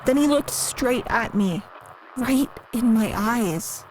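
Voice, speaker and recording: A meek, lonely woman giving an interview in an audio drama. Her voice sits high and she speaks hesitantly, earnest and naive. Clear background noise runs under the recording. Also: expressive, slow pace, rough recording. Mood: hushed, recounting. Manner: hesitant, soft-spoken, earnest. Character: timid, lonely, naive female museum worker defending a monster.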